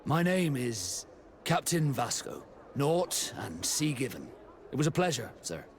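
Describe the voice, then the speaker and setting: A man's voice: medium pitch, very animated, measured pace, faint music behind. A man playing a proud, blunt, tough sea captain in a video game. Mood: sincere.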